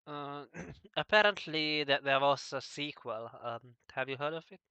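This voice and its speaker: A man hosting a casual, unscripted discussion, asking questions in a halting way and often stumbling over his words. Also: a little high, pushed and bright, smooth, dry recording, lo-fi sound. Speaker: podcast host asking questions.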